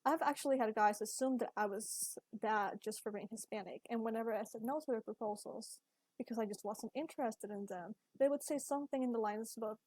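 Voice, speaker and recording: A young woman from Ecuador, a Spanish speaker with an Ecuadorian accent, giving an earnest, measured talk about her own life as a university student. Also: fairly high pitch, a little mellow, dry recording, low-quality recording. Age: young adult. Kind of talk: scripted personal video essay.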